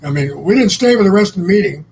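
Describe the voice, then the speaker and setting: A man co-hosting a relaxed chat, speaking in a fairly high register. He tells stories in a blunt, salty, meandering way and often restarts his sentences. A faint noise sits under his voice. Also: quick pace, gravelly, rough recording. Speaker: co-host. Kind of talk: casual conversational storytelling.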